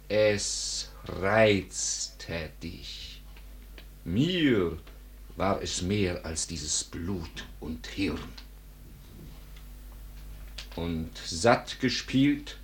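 An actor playing an embittered man accusing a former friend, declaiming solemn, deliberate verse in a theatrical radio drama style. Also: lowish voice, fairly bright tone. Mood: reproachful.

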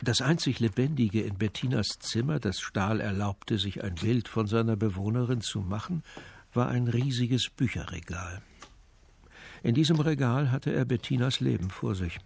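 A man's voice: medium pitch, moderate pace, vocal fry, a little mellow, slight background noise, natural voice. A male actor playing the sober, matter-of-fact narrator of a detective story in a scripted radio play.

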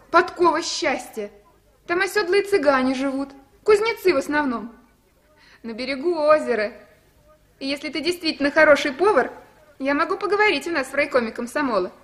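A woman's voice: high voice, a bit bright. A woman playing an earnest, resolute young woman in a 1960s radio drama, sincere and helpful. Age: young adult.

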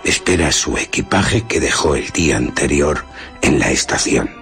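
A man playing a famous male novelist telling his tale in a radio drama, gravelly and deliberate, with teasing dramatic pauses. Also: a bass voice, lively intonation, a bit bright, faint music behind. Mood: mysterious, suspenseful. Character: H. G. Wells as a slow, theatrical male novelist storyteller.

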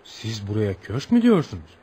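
An actor in a Turkish radio play as a courteous, patient American newspaper journalist, speaking in a reassuring manner. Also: male voice, on the low side, wildly swooping pitch, moderate pace, mellow, slightly rough, full character voice. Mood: impressed. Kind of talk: Turkish radio theatre acting. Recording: noisy radio play recording.

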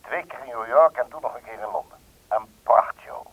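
A thin, dry telephone voice from an old radio play, an affable man in his late fifties. He is mid-pitched, eager and formally polite, with small hesitations. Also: a little fast, gravelly, no room sound, narrow, tinny audio. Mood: genial, inviting. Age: 50s. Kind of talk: Dutch radio play dialogue over the telephone.

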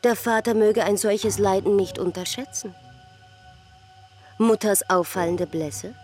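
An actress playing a concerned woman in a radio play, speaking slowly and haltingly. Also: medium pitch, a little mellow, close to an everyday voice. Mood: pensive, concerned. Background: faint music.

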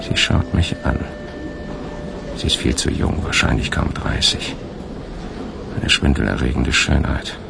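A man's voice: extremely low pitch, gravelly, exaggerated voice. An actor playing a weary hunted police officer, confiding his diary in brooding, clipped phrases in a radio drama. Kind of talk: radio drama first-person narration. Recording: loud music under the voice.

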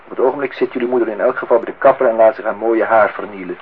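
A man's voice: fairly low pitch, very fast, natural voice. An actor playing a wry, grumbling man acting the husband and father in a Dutch radio play, with a dry, theatrical irony. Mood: wistful, ironic. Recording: tinny, band-limited old radio recording, faint noise.

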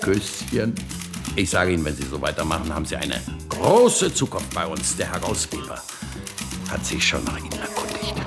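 An actor playing a jovial, scheming middle-aged editor-in-chief in a radio drama, oily and teasing in manner. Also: male voice, extremely low pitch, very animated, normal speed, music in the background, exaggerated voice. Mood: smug, teasing. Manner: oily, teasing, self-amused.

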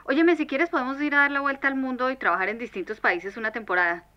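A woman's voice: high-pitched, fast talker, close to an everyday voice. A woman playing a friendly, adventurous young caller leaving a scripted answering-machine message. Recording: dull, noisy answering-machine line.

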